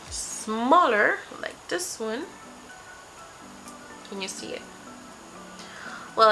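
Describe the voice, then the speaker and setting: Young woman, Spanish first language, slow pace, gravelly fry, makeup tutorial chat, music behind. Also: on the high side, rough recording. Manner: chatty, talks through each step while applying makeup. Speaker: beauty vlogger. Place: small home room with patterned wall. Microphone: webcam framing, seated at desk.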